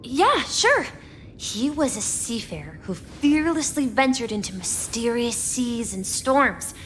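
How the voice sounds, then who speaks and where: A woman's voice: a little high, very animated, takes it slowly. A woman voicing an earnest, wistful young boy who dreams of adventure, in a video game. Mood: fond, wistful. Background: background music.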